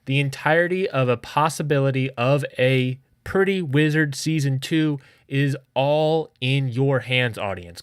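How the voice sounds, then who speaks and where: Man, higher-pitched, pleading podcast host, dry sound. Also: no echo. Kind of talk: casual podcast announcement to listeners.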